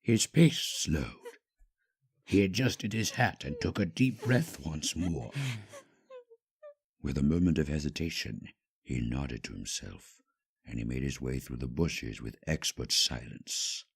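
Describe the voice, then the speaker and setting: A man narrating a scripted story dramatically, with measured, hushed phrasing and pauses. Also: rumbling low, expressive, vocal fry, husky.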